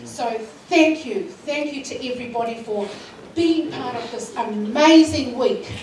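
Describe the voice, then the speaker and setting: A woman with a New Zealand accent speaks to a school assembly. She gives heartfelt thanks with plenty of fillers, her voice a little high. She is recorded from far off in a very echoey hall, with clear room noise behind her. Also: a little slow. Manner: warm, emotional thanks with fillers. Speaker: school culture week organiser. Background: hall ambience and noise.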